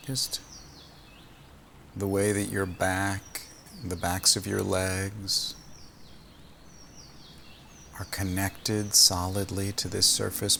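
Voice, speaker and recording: Slow, low-voiced man guiding meditation. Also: gravelly, slight background noise. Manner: gentle, soothing, unhurried phrasing with long pauses. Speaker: meditation teacher leading a body scan. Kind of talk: guided meditation.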